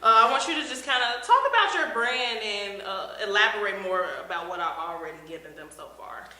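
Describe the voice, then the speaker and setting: A woman interviewer in a casual chat who speaks brightly, laughs often and uses many fillers. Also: medium pitch, expressive, brisk, roomy sound, rough recording.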